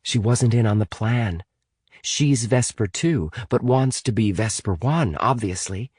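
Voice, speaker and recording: A man's voice: fairly low pitch, a little slow, a little mellow, breathy edge, dry recording, studio quality, voice pushed up. A man voicing an earnest, decisive teenage girl who leads her friends in an adventure audiobook. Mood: explaining. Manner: urgent, reasoning, decisive.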